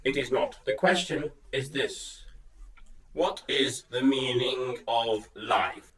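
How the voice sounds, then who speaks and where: A man's voice: medium pitch, steady tone, slow, a bit bright. A man playing a solemn, pedantic quest-giver in a comic fantasy audio drama, portentous yet matter-of-fact. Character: solemn, pedantic male quest-giver who sets riddling tasks. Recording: slightly distant from the microphone.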